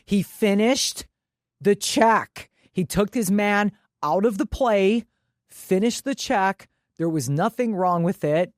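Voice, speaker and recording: Woman, mid-pitched voice, dry sound, casual sports podcast chat, conversational storytelling with quoted dialogue. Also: slow pace, no echo. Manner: conversational, quotes herself and others. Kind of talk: casual chat, animated retelling.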